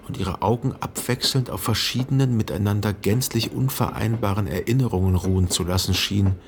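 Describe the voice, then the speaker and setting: A man playing a reflective son who narrates his memories in a radio drama, with a husky voice and measured, contemplative delivery. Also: fairly low pitch, noisy background, close to an everyday voice. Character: reflective literary narrator, a grown son remembering.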